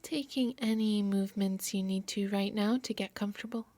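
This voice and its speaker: A woman yoga nidra instructor softly narrating a guided meditation in a soothing, measured, breathy voice. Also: medium pitch, steady tone, no room sound. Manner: soft, soothing, measured delivery.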